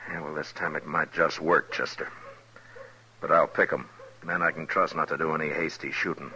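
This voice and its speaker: An actor playing a stern, steady Old West marshal in a radio western, speaking in a measured, authoritative, terse way. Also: male voice, very deep voice, exaggerated voice, poor audio. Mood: deliberate, planning. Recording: vintage radio recording with faint noise.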